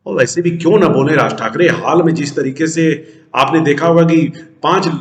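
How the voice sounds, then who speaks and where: A man's voice: fairly high pitch, normal speed. A man hosting a news show, giving emphatic political commentary to viewers and posing rhetorical questions that he answers himself. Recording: roomy, echoing sound.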